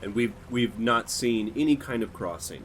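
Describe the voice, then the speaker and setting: A man's voice: medium pitch, moderate pace, fairly bright tone, slight background noise. A man talking as a player in a tabletop roleplaying game, casual and questioning as he checks details. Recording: music behind the voice. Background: background music.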